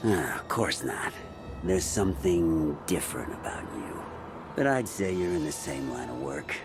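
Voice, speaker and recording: Wry fugitive peddler, very low voice, swooping intonation, grumbling, video game, music underneath. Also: male voice, very animated, measured pace, full character voice. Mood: wry, sly. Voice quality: gravelly. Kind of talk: video game character acting. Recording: music behind the voice.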